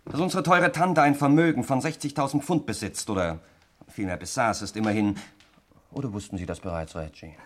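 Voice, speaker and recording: Sardonic, threatening gunman played by a male actor, medium pitch, mocking and bossy, sixties German radio play style. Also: a little fast, fairly bright tone, natural voice. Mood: sardonic, suspicious. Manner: mocking, commanding, needling address. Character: sardonic, menacing nephew holding a suspect at gunpoint. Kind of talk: 1960s German radio drama acting.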